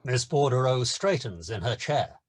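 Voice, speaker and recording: A man narrating the stage directions of a screenplay at a table read, steady and descriptive. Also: mid-range voice, normal speed, no echo.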